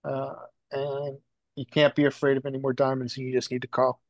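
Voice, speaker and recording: A man's voice: medium pitch, completely flat delivery, fairly quick, no echo. A man in a poker study group answering the coach, reasoning step by step on a video call.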